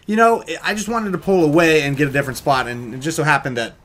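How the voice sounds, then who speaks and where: A man's voice: a little high, fast talker, a bit bright. A young American man telling a story in a casual, rambling, jokey vlog style. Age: young adult.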